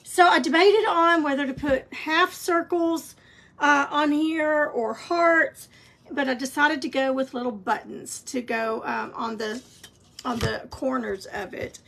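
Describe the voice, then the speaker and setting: A woman's voice: high-pitched, fairly even intonation, measured pace, gravelly, fairly bright tone. Woman, scratchy voice, encouraging craft teacher, folksy live stream. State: scratchy voice, clearing throat. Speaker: craft painting instructor. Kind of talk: live-streamed painting tutorial.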